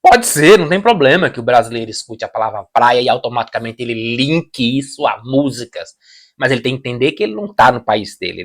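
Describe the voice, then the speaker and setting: Brazilian man, reaction host, mid-pitched, emphatic and repetitive, restarts his lines as he argues. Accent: Brazilian Portuguese. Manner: emphatic, repeats phrases, restarts sentences. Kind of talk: casual opinion commentary.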